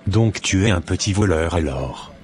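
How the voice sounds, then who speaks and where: Deep blunt policeman, acted by a man. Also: animated, moderate pace, darkish tone. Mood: accusing. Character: gruff, accusing male policeman; also easygoing friend. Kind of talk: amateur animated drama acting. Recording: background music.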